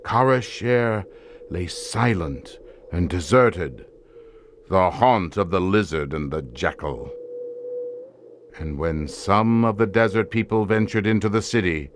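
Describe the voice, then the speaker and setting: A man's voice: on the low side, slight background noise. An actor playing a grave desert tribesman who solemnly tells of an ancient curse in archaic, portentous language for an audio drama. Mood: ominous. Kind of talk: theatrical audio drama storytelling.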